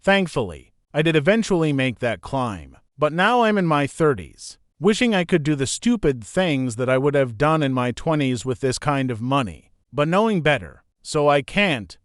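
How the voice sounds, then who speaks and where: Male narrator for read-aloud forum posts; smooth, slightly high voice, dry close recording. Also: very animated, normal speed, few pauses, no room sound. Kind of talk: scripted narration.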